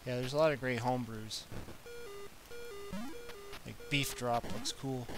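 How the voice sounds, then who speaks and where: A man's voice: mid-range voice, fairly even intonation, measured pace, over music, poor audio. A Canadian man with a Canadian accent, hosting a retro gaming stream in casual, rambling chat. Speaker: retro gaming channel host.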